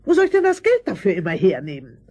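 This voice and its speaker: A nagging, miserly aunt in a fairy-tale radio play. Her raspy voice leaps up and down as she scolds querulously. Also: lowish voice, wildly swooping pitch, quick pace, a little mellow, full character voice. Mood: scolding, complaining. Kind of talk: German fairy-tale audio drama acting.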